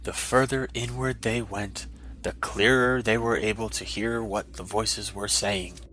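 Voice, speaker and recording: A man's voice: lowish voice, fairly even intonation, measured pace, pushed and bright, a little hiss or hum. A man narrating a fantasy story in a dramatic, scripted audiobook style.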